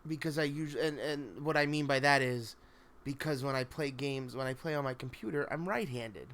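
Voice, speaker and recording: A man hosting a story-reading livestream, chatting casually in a halting, think-out-loud way over background noise. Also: mid-range voice. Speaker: host of a spooky story reading stream. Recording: clear steady background noise.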